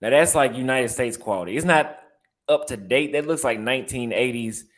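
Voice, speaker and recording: A man's voice: medium pitch, normal speed, fairly bright tone. A young man with a Texas accent, chatting casually in a vlog and reacting aloud as he browses apartment listings, repeating his phrases. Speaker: vlogger and barber.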